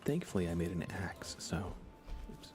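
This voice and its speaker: A man hosting an ASMR gaming livestream, speaking in a breathy, hushed, reassuring whisper with some vocal fry. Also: low-pitched, lively intonation, normal speed, music in the background. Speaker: livestream host.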